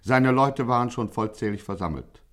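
An actor as the wry narrator of a 1950s German radio gangster satire, telling the story with dry irony. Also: male voice, mid-range voice, animated, normal speed, fairly bright tone, natural voice. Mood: matter-of-fact. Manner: dryly ironic, storytelling.

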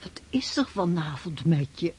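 An actress playing a loving but practical middle-aged countess and mother in a radio drama, gently admonishing in a husky voice. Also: lowish voice, expressive, a little slow, a little hiss or hum. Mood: gently stern.

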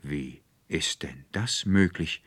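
A man's voice: a bass voice, slow pace, a bit bright, breathy edge, dry recording, full character voice. A touchy court councillor acted by a male reader in a literary audiobook. He speaks with stiff formality and wounded indignation. The recording is dry. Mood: indignant surprise. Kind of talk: literary audiobook character dialogue.

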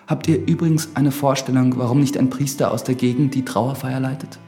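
A man's voice: medium pitch, steady tone, a little fast, mellow, faint music behind, natural voice. An actor playing an earnest, dutiful priest in a German radio drama, formal, pious and probing in his questions. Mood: courteous, firm.

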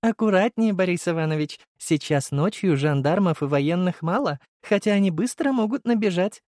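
A polite young shopgirl, as a man narrator puts her on, with a raised, swinging pitch. She speaks with genteel courtesy and a coquettish touch, in a close, dry audiobook sound. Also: expressive, normal speed, darkish tone, dry recording. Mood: courteous, advising. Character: polite, coquettish young woman shop clerk.

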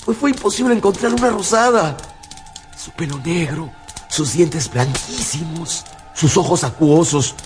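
An actor playing a haunted, feverish scientist in a radio drama, confessing in an overwrought, halting, theatrical way. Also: male voice, fairly high pitch, close to an everyday voice. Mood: agitated, admiring. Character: haunted, feverish male scientist confessing his monstrous creation. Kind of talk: Spanish-language radio drama acting. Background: dramatic music.

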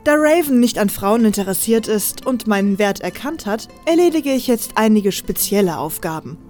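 A woman's voice: medium pitch, expressive, normal speed, a little mellow, faint music behind, natural voice. A woman playing a confident, teasing female assassin with a mocking manner in an audio drama. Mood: confident, matter-of-fact. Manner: mocking, playful, self-assured. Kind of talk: German fan audio drama acting.

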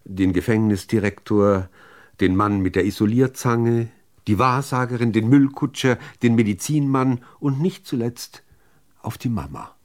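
Slow, low-voiced man reciting poetry. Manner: measured, deliberate phrasing with dramatic pauses. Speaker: reader of poetry.

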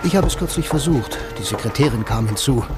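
A man's voice: mid-range voice, animated, fairly quick, close to an everyday voice. Man acting a sardonic blind banker over music. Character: blind, sardonic, self-assured male bank employee. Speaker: first-person narrator and lead actor. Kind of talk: radio drama monologue.

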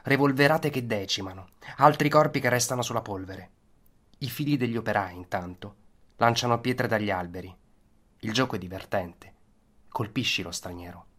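Low-voiced man in solemn dramatic narration. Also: a bit bright. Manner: solemn storytelling in short, deliberate phrases with emphatic lists. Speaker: storyteller, narrator. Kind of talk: dramatic scripted narration of a historical account for radio. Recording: radio narration over musical soundtrack. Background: instrumental soundtrack with guitar.

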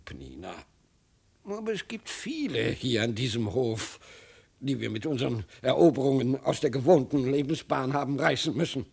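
An actor playing an old emperor and father in a 1950s German radio play, halting, indignant and pious. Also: mid-range voice, vocal fry, darkish tone, breathy edge, full character voice. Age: older.

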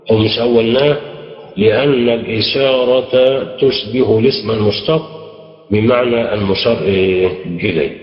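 Egyptian man teaching in an echoey hall. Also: mid-range voice. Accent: Egyptian Arabic. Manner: explains step by step, quizzing students with prompting questions. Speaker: grammar teacher. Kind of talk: classroom grammar lesson. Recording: dull lo-fi recording, echoey hall.

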